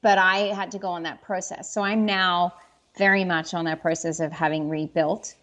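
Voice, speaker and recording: A woman speaking in a somewhat low voice, earnest and open. She talks in a reflective, personal way and often stops and restarts her sentences as she searches for words. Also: fairly even intonation, a bit bright. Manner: earnest and candid, halting with restarts. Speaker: interview guest.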